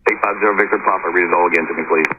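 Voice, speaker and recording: A man's voice: low-pitched, fairly even intonation, racing. A man working as an air traffic ground controller, speaking in clipped phraseology over aviation radio. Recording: noisy, narrow, clicking aviation radio transmission.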